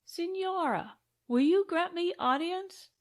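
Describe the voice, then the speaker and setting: A woman's voice: very high-pitched, takes it slowly, voice pushed up, full character voice. A woman voicing a grave, humble old priest in an audiobook western, speaking formally and courteously. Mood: grave, penitent. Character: grave, humble, sad old male padre.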